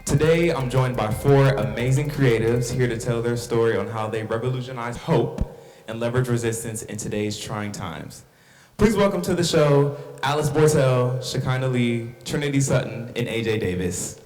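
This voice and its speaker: A man's voice: medium pitch, normal speed, darkish tone, echoey room, faint music behind, poor audio. A man hosting a discussion podcast, opening with upbeat introductions and then asking his guests questions. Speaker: host.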